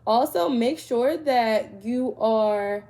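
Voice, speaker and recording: A woman's voice: fairly high pitch, takes it slowly, dark tone. A woman tarot reader, congested from a cold, giving conversational spiritual advice to her viewers and checking in with "right?".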